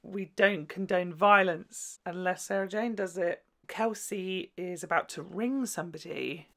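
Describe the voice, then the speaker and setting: Effusive, campy woman reacting, lowish voice. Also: unhurried. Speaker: YouTube host reviewing a children's TV show. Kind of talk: casual, gushing reaction commentary.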